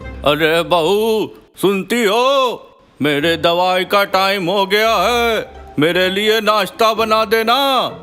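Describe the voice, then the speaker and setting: A man playing a frail, complaining elderly father-in-law in a cartoon story, with a drawn-out, plaintive delivery. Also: sits high, bright, forceful tone, smooth, soft background music, heavily acted. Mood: plaintive, annoyed. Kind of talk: Hindi cartoon moral story dialogue.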